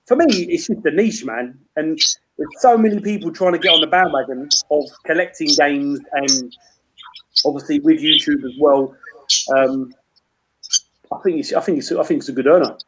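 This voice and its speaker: A man's voice: on the high side, moderate pace, a bit bright, slightly rough, low-quality recording. A man, hosting a rambling, casual video-call chat and swearing freely. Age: 30s. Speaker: host.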